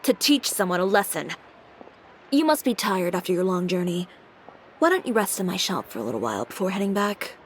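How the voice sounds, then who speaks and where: Poised designer with a hidden temper; cool, crisp, cutting. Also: female voice, on the low side, sing-song, brisk, a little hiss or hum. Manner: composed, cool, sharp-tongued. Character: poised, proud female fashion designer, fiery temper. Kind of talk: video game voice acting. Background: game music.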